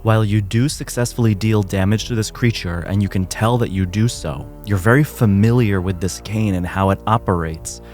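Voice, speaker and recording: Low-voiced man running a tabletop game. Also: moderate pace, hardly any pauses, a little mellow, soft background music. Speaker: game master.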